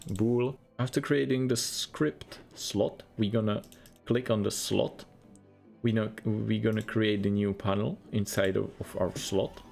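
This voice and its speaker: A low, gravelly man's voice with a Czech accent talks through his coding tutorial one step at a time. He keeps returning to "we're gonna" as he goes. Faint music plays under him, and keys tap now and then. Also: darkish tone. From Czech Republic. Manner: plain step-by-step instructions, repeats "we're gonna". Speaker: programming tutor. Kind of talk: screen-recorded coding tutorial.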